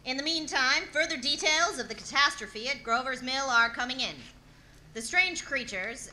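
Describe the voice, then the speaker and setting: A woman's voice: a little high, pushed and bright, slight background noise, low-quality recording. A woman playing a formal, grave radio news announcer reading bulletins in a 1930s radio drama. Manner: formal, measured delivery.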